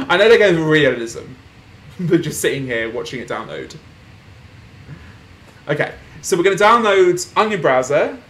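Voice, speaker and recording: Chatty young man narrating a game. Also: a little high. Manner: chatty, reads on-screen posts aloud, laughs softly. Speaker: gaming streamer. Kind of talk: casual game playthrough commentary. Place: small room at home, indoors.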